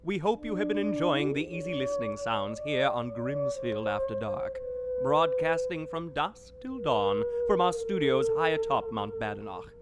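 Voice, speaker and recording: An actor playing a suave but easily exasperated radio show host, in a theatrical, old-time radio drama manner. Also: male voice, medium pitch, big pitch swings, normal speed, very noisy, close to an everyday voice. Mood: grandly ominous. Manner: theatrical, polite in front, snippy aside. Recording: music behind the voice.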